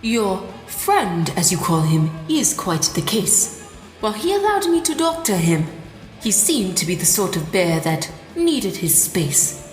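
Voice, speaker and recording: A woman voicing a wise female zebra healer in a fan audio drama, with formal, measured phrasing. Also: mid-range voice, very animated, soft background music. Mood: calm, wary. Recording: roomy with long reverb.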